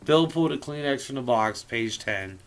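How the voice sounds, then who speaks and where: A man's voice: medium pitch, lively intonation, normal speed. A man reading a story aloud informally, with a theatrical, irreverent manner and profane casual asides. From US Midwest. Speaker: amateur audiobook reader.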